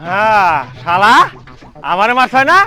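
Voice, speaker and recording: A man's voice: high-pitched, measured pace, bright, forceful tone, a little hiss or hum. A man playing a vengeful village prankster in a slapstick comedy skit, with a rural Bengali dialect and taunting, coarse talk. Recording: music behind the voice.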